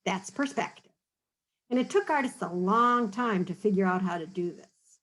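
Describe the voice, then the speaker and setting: A woman's voice: medium pitch, animated, normal speed, a bit bright. A woman reading a picture book aloud to children, then patiently explaining drawing tips and checking in with them often. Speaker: storybook reader and teacher.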